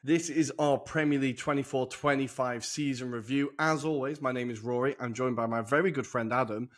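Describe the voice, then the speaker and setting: A young man hosting a casual football podcast over a video call, chatty and enthusiastic with quick asides. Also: medium pitch, steady tone. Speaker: podcast host. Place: humid room in a city flat.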